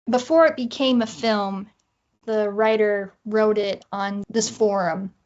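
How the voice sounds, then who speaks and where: A woman talking casually as a guest in an interview about writing. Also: medium pitch. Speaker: interview guest, audio drama writer. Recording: slightly dull, lo-fi audio.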